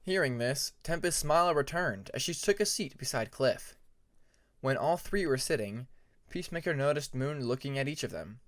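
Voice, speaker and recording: A man's voice: medium pitch, expressive, moderate pace, no room sound, clean studio sound. A man reading a fantasy story aloud as a scripted audiobook narration. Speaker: audiobook narrator of fan fiction.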